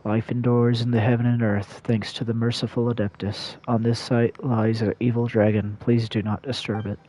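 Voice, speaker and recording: A man's voice: medium pitch, little pitch movement, normal speed, dark tone, low-quality recording. A man livestreaming a video game, reading its dialogue aloud and adding casual commentary.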